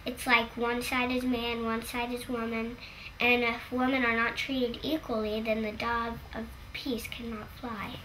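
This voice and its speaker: A young woman explaining her beliefs simply and earnestly in an interview, pausing often. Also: fairly high pitch, flat delivery, background noise. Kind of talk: documentary interview.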